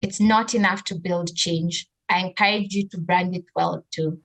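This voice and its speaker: A woman's voice: on the low side, steady tone, normal speed, a bit bright, rough recording. A woman speaking as a trainer, delivering a scripted motivational message. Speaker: brand strategy trainer.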